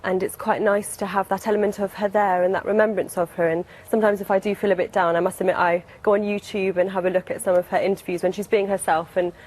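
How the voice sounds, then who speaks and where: Female interview guest, medium pitch, informal, slightly hesitant and understated delivery. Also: flat delivery, quick pace, few pauses, a little mellow, rough recording. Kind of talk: casual broadcast interview.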